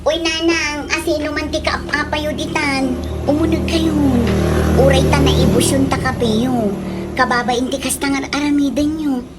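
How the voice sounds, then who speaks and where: A woman's voice: high-pitched, steady tone, moderate pace, over loud music, close to an everyday voice. A woman playing a peacemaking grown daughter in a comedic radio drama, coaxing with an Ilocano accent. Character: peacemaking grown daughter calling her mother inside.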